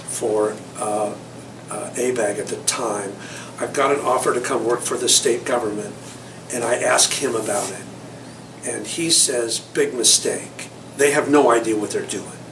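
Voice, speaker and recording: An older man from Southern California, recalling his career in a thoughtful, rambling interview with many fillers. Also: medium pitch. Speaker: award recipient interviewee. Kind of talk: reflective interview recollection. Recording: echoey room, mic far off, noisy.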